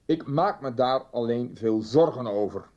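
An actor playing a stuffy, persistent schoolmaster in a radio soap, formal and hesitant but insistent. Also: male voice, medium pitch, lively intonation, a little slow, warm tone, highs cut off, natural voice. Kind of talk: naturalistic 1990s Dutch radio soap acting.

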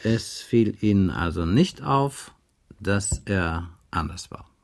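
A man's voice: deep voice, animated, slow pace, creaky, full character voice. An actor playing a persistent male police inspector, questioning in a formal, insistent radio drama manner. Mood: probing, insistent. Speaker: actor in a radio crime play. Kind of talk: 1980s radio drama dialogue.

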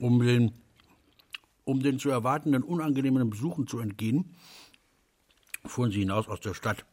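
A man's voice: medium pitch, normal speed, darkish tone. A man reading scripted literary narration as the narrator of a radio drama.

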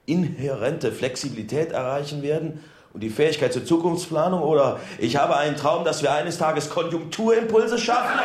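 A man acting an ordinary middle-aged townsman in a radio play, making an unpolished, earnest speech. His voice sits a little high and rings through an echoey hall. He is humble and a reluctant speaker. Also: lightly acted. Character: plain-spoken ordinary Welsh townsman, humble reluctant political candidate. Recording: echoey public hall sound.

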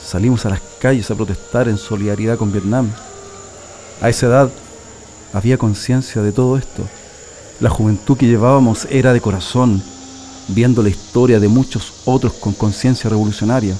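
A man's voice: lowish voice, fairly even intonation, normal speed, warm tone, a little hiss or hum, close to an everyday voice. An actor with a Chilean accent playing an older leftist man looking back nostalgically and earnestly in a radio drama. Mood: nostalgic, proud. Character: older Chilean leftist man warmly reminiscing about his militant youth.

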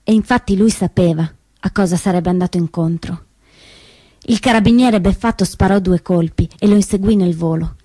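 A woman performing scripted theatrical storytelling, with dramatic, emphatic and deliberate phrasing that moves between hushed and declamatory. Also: on the low side, slightly rough. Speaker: solo theatre performer and narrator. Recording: roomy stage sound, faint music behind.

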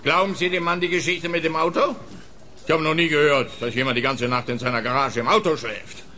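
An actor playing a stolid, self-important policeman in a radio crime play, speaking with emphatic, official, halting phrasing. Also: medium pitch, bright, forceful tone.

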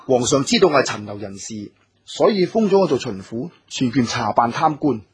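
An actor playing a dutiful, filial scholar-official in a radio drama, speaking measured, formal Cantonese with a husky voice. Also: male voice, mid-range voice, big pitch swings. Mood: calm, explaining. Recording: music under the voice.

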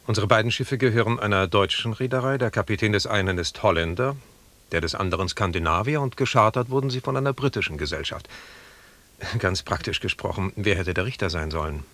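A man's voice: fairly low pitch, expressive, fairly quick, a bit bright. A man playing a courteous, reasoning expedition doctor who speaks politely, formally and carefully in a German radio drama. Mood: polite, reserved.

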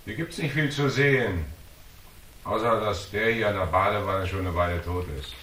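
An actor playing a cool, defiant hardboiled investigator in a German radio thriller, dry, laconic and taunting. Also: male voice, on the low side, normal speed, a bit bright, slight background noise, lightly acted, rough recording. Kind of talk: German radio crime drama acting.

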